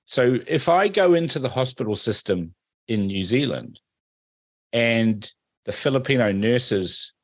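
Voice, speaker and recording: A man's voice: medium pitch, expressive, unhurried, no room sound. A man born in Fiji hosting a political talk radio interview, making pointed, emphatic remarks and asking leading questions. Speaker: host.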